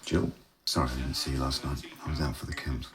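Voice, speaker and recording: A boastful, deep-voiced scientist boss. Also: male voice, fairly even intonation, fast talker, music in the background. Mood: pleading. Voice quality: pushy, self-assured. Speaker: project leader. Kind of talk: radio drama acting.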